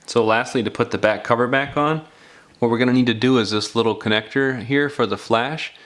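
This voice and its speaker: A man's voice: medium pitch, brisk, fairly bright tone. A man narrating a hands-on DIY repair tutorial in a casual, folksy, step-by-step way. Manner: folksy, informal, step-by-step phrasing. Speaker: DIY phone repair instructor.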